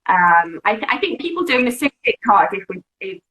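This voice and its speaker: A woman's voice: mid-range voice, sing-song, normal speed, bright, forceful tone, rough recording. A woman from Wales, a guest on a live video-call interview, talking casually with many fillers and restarts. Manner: chatty, lots of fillers and restarts.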